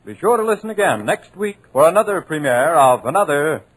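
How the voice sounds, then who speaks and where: A man's voice: fairly high pitch, sing-song, a little fast, breathy edge. A male announcer giving the formal closing of a 1940s radio drama.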